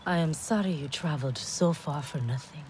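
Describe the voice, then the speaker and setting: An actress playing a guarded but kind female caretaker who speaks calmly to a stranger. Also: low voice, moderate pace, a little hiss or hum, lightly acted. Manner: calm, guarded.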